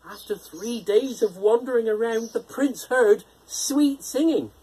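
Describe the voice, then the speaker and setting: A storyteller narrating a children's fairytale in an expressive, theatrical manner. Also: mid-range voice, unhurried, husky, rough recording.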